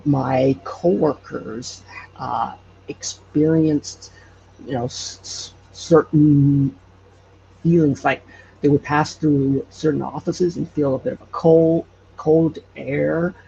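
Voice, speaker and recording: A man explaining things in casual interview talk, as a podcast guest, in a halting way. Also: fairly high pitch, fairly even intonation, creaky, mellow. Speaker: podcast guest, local storyteller. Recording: muffled, with faint background noise.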